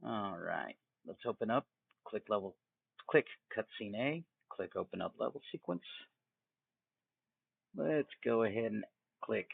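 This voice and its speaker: A phone-like, dry recording carries a man hosting a casual software tutorial. His lowish voice is thick with fry. He takes his time through each step, informal and folksy, as if thinking aloud. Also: no room sound. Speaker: tutorial host. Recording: tinny, phone-like narrow band.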